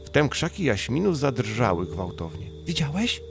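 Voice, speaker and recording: A man narrates a children's bedtime story in a fairly low voice with noticeable creak. Music plays clearly behind the storytelling. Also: moderate pace. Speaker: audiobook narrator.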